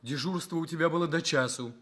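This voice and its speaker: An actor playing a gravely ill young journalist husband, bitter yet tender, in a Soviet radio drama. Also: male voice, on the high side, fast talker, fairly bright tone. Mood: bitter, reproachful.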